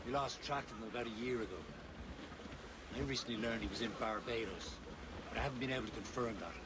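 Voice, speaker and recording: Male actor as a stern game mentor; measured and serious, buried in heavy ocean noise. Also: mid-range voice, close to an everyday voice. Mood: grave. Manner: grave, measured briefing. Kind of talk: video game cutscene acting. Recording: game audio with loud sea noise.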